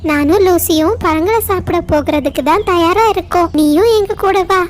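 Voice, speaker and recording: A woman's voice: very high voice, moderate pace, slight background noise. A woman voicing a friendly, eager small forest bird in a children's cartoon story.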